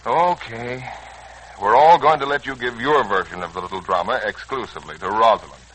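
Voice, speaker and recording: An actor with an American accent playing an earnest, slangy, hot-headed young son in a 1940s radio serial. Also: male voice, medium pitch, fairly quick, fairly bright tone, poor audio. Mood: exasperated, firm. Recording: old, rough radio recording.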